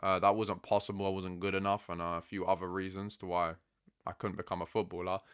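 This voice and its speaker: A man in his early twenties from England, answering fan questions in a relaxed, chatty way and often saying "bro". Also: sits low, fairly quick, smooth. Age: 20s. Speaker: football content creator. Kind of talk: casual Q&A chat.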